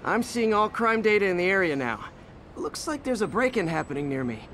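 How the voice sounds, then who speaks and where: Lively, wisecracking young male superhero. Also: high-pitched, brisk, a little hiss or hum, full character voice. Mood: playful, confident. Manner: quippy, playful. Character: wisecracking young masked superhero, upbeat and friendly. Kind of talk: video game voice acting.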